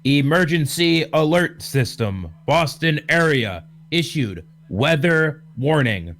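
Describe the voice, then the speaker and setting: A man puts on a stiff, formal emergency broadcast voice for an audio drama. It is official and deliberate, raised a little above his usual talk. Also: a little high, lively intonation, a bit bright, full character voice. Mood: stern, official. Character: formal automated emergency alert announcer. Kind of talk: amateur radio drama read-through.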